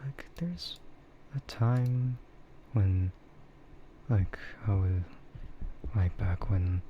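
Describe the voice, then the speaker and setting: A soft-spoken man chatting casually and haltingly in a laid-back ASMR livestream style. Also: lowish voice. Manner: chuckles often while talking. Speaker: VTuber livestreamer. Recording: muffled audio with clear background noise.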